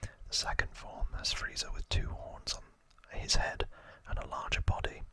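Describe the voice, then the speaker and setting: A man whispering trivia as an ASMR host, in a hushed, informal and unhurried explaining manner.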